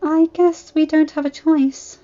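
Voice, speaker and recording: A woman voicing a shy, timid young female pegasus pony who speaks softly and haltingly. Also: very high voice, lively intonation, muffled recording, faint music behind, pitched above the performer's own voice. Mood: bashful. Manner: soft hesitant stammering.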